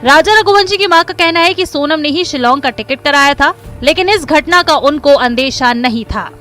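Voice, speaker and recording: High, bright woman narrating crime news. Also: animated, moderate pace, faint music behind. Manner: dramatic, emphatic. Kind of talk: dramatic scripted crime report narration.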